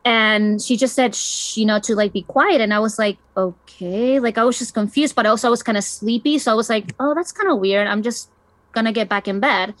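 A woman's voice: fairly high pitch, a little fast, no room sound. A woman in her thirties from Chile, telling a personal story in a casual, chatty way with many fillers. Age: 30s. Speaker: guest.

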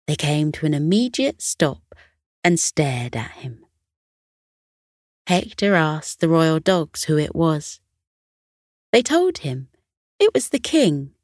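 A woman narrating a scripted bedtime story for children in a gentle, measured way. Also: deep voice, no room sound, studio quality.

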